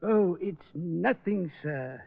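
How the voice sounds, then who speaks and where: Prim, high-voiced radio butler. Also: male voice, animated, takes it slowly, gravelly, breathy edge, full character voice. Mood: stuffy, put-upon. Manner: formal, deferential, stuffy. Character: prim, dutiful, long-suffering male butler. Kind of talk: 1940s radio drama acting. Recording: muffled old radio recording.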